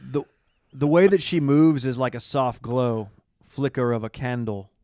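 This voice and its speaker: Dude on a podcast, average pitch, deadpan jokey banter, no room echo at all. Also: male voice, unhurried, studio quality. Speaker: podcast co-host. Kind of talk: casual joking banter.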